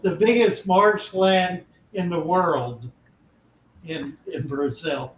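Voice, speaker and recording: An older man hosts a casual chat, speaking slowly with a notably high-pitched voice. His replies are genial and encouraging. He sits well back from the mic in a roomy space, with faint ambient noise around him. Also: far from the mic. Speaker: host.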